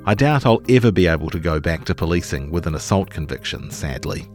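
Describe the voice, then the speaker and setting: A man reading a long-form news feature in a measured, articulate scripted narration style. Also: low-pitched, expressive, a little mellow, soft background music.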